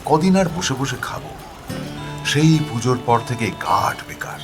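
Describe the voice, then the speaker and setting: A man narrating a first-person story in a dramatic, animated and expressive manner. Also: medium pitch, a little slow, over music.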